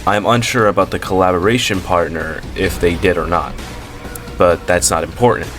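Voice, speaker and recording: A man's voice: low voice, fairly even intonation, moderate pace, fairly bright tone, faint music behind. A man hosting a commentary video, talking in a casual, rambling way with frequent fillers and self-corrections. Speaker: commentary channel host.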